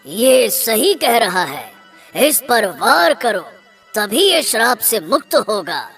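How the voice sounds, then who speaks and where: A man's voice: very high-pitched, big pitch swings, slow pace, pushed and bright, slightly rough, soft background music, exaggerated voice. A man voicing a dignified, commanding talking sword in a cartoon, formal and declarative. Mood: earnest.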